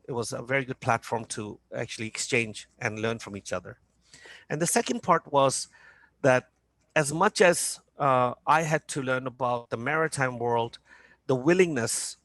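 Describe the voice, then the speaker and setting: A man's voice: medium pitch, a bit bright, no room sound. Hesitant man from Norway, dry sound. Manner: hesitant, many fillers and restarts. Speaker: company founder. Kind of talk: business panel talk.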